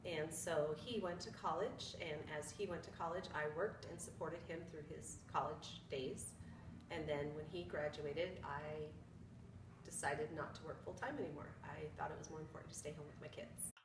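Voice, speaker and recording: A woman's voice: deep voice, steady tone, moderate pace. A fifty-year-old woman from Indiana, answering interview questions in a plain, matter-of-fact way. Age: 50s. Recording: echoey room from a distance with noise.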